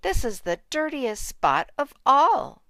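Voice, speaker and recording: A woman voicing a brisk, house-proud farmer's wife in a storybook. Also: sits high, sing-song, pushed and bright, dry recording, clean studio sound, voice pushed up, full character voice. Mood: appalled.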